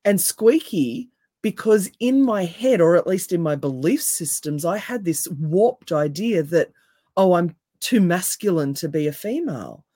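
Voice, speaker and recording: A woman with a deep, raspy voice, speaking as a coach in an interview in an emphatic, animated way. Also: unhurried, dry recording, clean studio sound. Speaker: NLP coach and hypnotherapist, guest. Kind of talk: casual interview chat.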